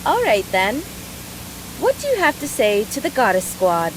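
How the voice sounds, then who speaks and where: Brash young game heroine, high pitched and super animated, teasing, bit of hiss behind. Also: female voice, normal speed. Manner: teasing, casual, boisterous. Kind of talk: video game voice drama.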